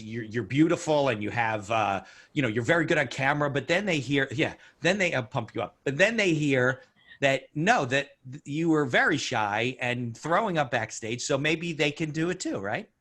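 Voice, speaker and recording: A man hosting an interview podcast, chatty and teasing, often cutting in with quick asides. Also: medium pitch, expressive, fairly bright tone. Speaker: podcast host. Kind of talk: casual interview chat.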